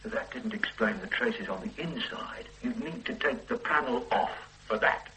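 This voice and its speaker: An actor playing a hard-pressing police detective who questions a suspect with needling sarcasm in a radio play. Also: male voice, medium pitch, very animated, normal speed, pushed and bright, a little hiss or hum, low-quality recording. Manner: sardonic, needling interrogation. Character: hard-pressing male detective, sardonic, relentless. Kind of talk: 1970s radio drama acting.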